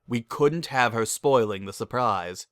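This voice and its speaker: A sensible male unicorn from a cartoon tale, played by a reader. He talks calmly and plainly, in a matter-of-fact way, with a dry close sound. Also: medium pitch, measured pace, smooth, dry recording, natural voice. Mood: defensive.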